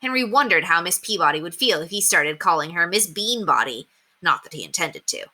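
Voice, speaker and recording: A woman narrates a children's storybook with a bright voice that moves freely up and down. She reads clearly and expressively, in the manner of an elementary read-aloud, and her pitch sits a little low. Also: animated, a little fast.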